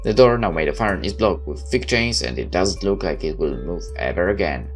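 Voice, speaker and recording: A very low-pitched male gamer reads story text aloud in a dramatic let's play style, tripping over the odd word. He drops in wry, joking comments of his own. Clear music plays behind him. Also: lively intonation, fairly quick. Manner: wry, dramatic reading with stumbles. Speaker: gamer reading a visual novel aloud. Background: game music with guitar.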